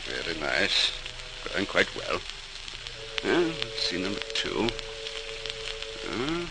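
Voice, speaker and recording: A dedicated middle-aged man of science from a 1940s radio play. His delivery swings widely in pitch, like a lecturer thinking out loud over his work. The old transcription crackles and hisses strongly. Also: gravelly, full character voice. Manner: lecturing aloud, muttering to himself while working.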